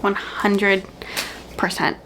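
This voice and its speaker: A young adult woman chatting casually about books, with an animated, gushing delivery and dramatic emphasis. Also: medium pitch, extremely slow, background noise. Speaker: book reviewer and vlogger. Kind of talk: casual chatty book recommendation vlog.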